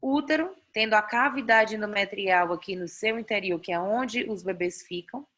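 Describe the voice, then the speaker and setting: A woman's voice: medium pitch, moderate pace, fairly bright tone. Brazilian woman doctor teaching online. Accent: Brazilian Portuguese. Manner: explanatory, frequent "né?" tags and restarts. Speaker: doctor teaching a fertility class. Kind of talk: online lecture explaining slides. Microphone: video call.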